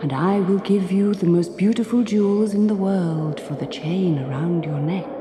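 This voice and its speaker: A woman voicing a commanding male wind spirit in a storybook, calling in a drawn-out sing-song. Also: fairly low pitch, close to an everyday voice. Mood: luring, commanding.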